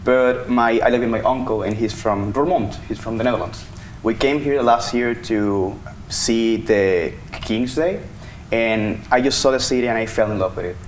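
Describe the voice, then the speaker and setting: A man's voice: medium pitch, normal speed, slight background noise, low-quality recording. A man in his twenties from Venezuela, a student telling his story eagerly. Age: 20s. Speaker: student panelist. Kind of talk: casual panel talk.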